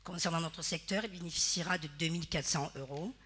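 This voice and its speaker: A woman council member reading out a formal report with its figures, steady and formal. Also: fairly low pitch, fairly quick, husky. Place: municipal council chamber.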